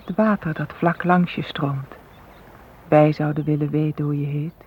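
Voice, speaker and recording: Actor as inquisitive talking water, thin sound. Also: male voice, on the high side, little pitch movement, moderate pace, close to an everyday voice. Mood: curious, chiding. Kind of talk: literary radio drama acting. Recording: thin phone-like sound, outdoor noise.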